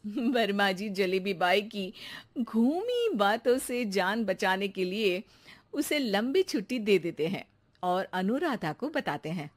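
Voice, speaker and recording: A woman's voice: mid-range voice, animated, takes it slowly. A woman narrating a cartoon story as a scripted storyteller.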